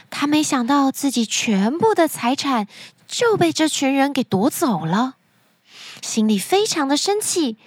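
Woman, children's storyteller, high-pitched, lively swooping intonation, addressing little listeners. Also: no room sound, studio quality. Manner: expressive, addresses young listeners directly. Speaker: children's story podcast host. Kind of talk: animated storytelling narration for children.

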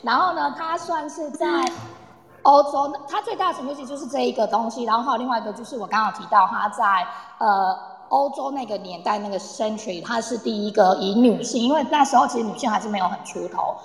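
A woman's voice: on the high side, quick pace. A woman with a Taiwanese Mandarin accent explaining things casually as a guest presenter, mixing in English words. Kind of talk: casual explanatory talk with audience. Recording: echoey, reverberant room sound.

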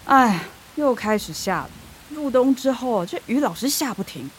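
Radio drama mother, played by a woman, middle-aged, Taiwanese accent, higher voice, caring but easily exasperated. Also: lively intonation, moderate pace, slight background noise, natural voice, poor audio. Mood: caring, practical.

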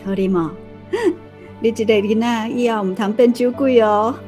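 A woman playing an indulgent, gently scolding mother with a Taiwanese accent in a radio drama. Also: fairly high pitch, normal speed, natural voice. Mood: teasing, indulgent. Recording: music under the voice.

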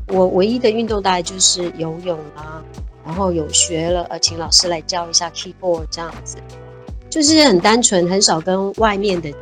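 A woman, talking reflectively as an interview guest and laughing easily. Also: on the low side. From Taiwan. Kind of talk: casual interview chat.

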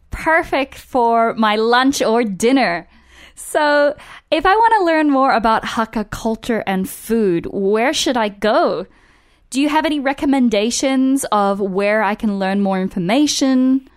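Female radio host, fairly high voice, polite and encouraging, conversational delivery. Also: lively intonation, measured pace. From Canberra, Australia.

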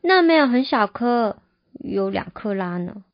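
A woman's voice: fairly high pitch, big pitch swings, unhurried, no room sound. A woman playing a plaintive, manipulative ex-girlfriend who pleads coyly in an audio drama. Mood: pleading. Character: clingy, manipulative engaged ex-girlfriend, plaintive and coy. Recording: slightly dull audio with music.

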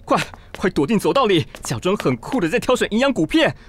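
A man voicing a fussy, excitable, lovestruck man in an audio drama, theatrical and exclamatory. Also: high-pitched, brisk, slight background noise. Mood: frantic. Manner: theatrical, exclamatory, self-questioning. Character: fussy, excitable, vain man smitten at first sight. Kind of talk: audio drama acting with inner monologue.

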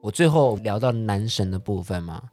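A man with a Taiwanese Mandarin accent, hosting a casual chat in an animated, teasing way and pressing with questions. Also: lowish voice, normal speed. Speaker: podcast host. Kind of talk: casual chat, interviewing a guest.

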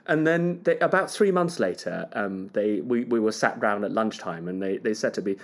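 A man's voice: on the low side, lively intonation, moderate pace. A man from Hong Kong whose first language is Cantonese. He is a conductor telling stories as a podcast guest, chatty and self-deprecating. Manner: modest, chatty storyteller with laughs and self-deprecating humor. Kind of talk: casual interview chat.

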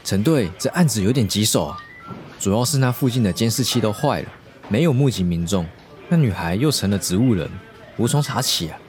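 Brief: male actor as junior cop, casual and sharp-witted, animated intonation, faint music, radio drama. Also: on the low side, a little fast, natural voice. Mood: curious, casual. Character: quick-witted male junior police detective, casual and inquisitive.

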